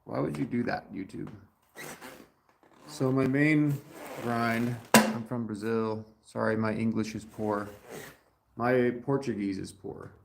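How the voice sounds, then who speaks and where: A man's voice: medium pitch, fairly even intonation, slow pace, gravelly, pauses often, noisy background. A man hosting a casual livestream from his garage. He greets chatters by name and talks loosely about effort. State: dirty after a day of work. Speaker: livestream host.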